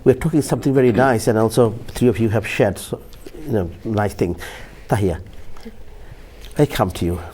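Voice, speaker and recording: A man's voice: fairly low pitch, moderate pace, dark tone, husky. A man hosting a television panel discussion, putting questions to his guests in a conversational way. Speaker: TV talk show host.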